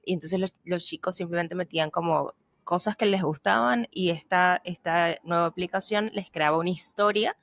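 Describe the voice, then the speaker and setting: A woman's voice: fairly low pitch, moderate pace, a bit bright, no echo. Dry-voiced Spanish-speaking woman explaining. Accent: Spanish mixed with Argentine. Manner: hesitant, restarts and fillers while explaining. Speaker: podcast co-host, language teacher. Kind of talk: casual conversational chat.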